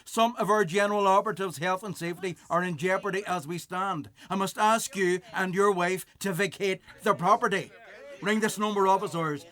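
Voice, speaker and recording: An actor playing a cold, officious eviction bailiff who speaks in formal legalese in a radio play. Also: male voice, sits high, fairly bright tone.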